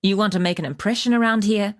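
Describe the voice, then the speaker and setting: An actress playing a tough, wry wilderness huntress in a video game, speaking in a measured, dry, matter-of-fact way as she hands out hunting quests. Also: mid-range voice, lightly acted. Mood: grim, determined. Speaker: game character voice actor.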